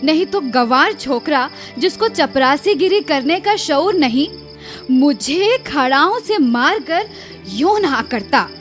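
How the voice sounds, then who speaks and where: An actress in a radio drama playing a proud, aggrieved young village wife, plaintive and indignant. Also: female voice, high-pitched, expressive, slow pace, a bit bright, faint music behind, exaggerated voice. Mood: indignant complaint. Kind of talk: Hindi radio drama acting.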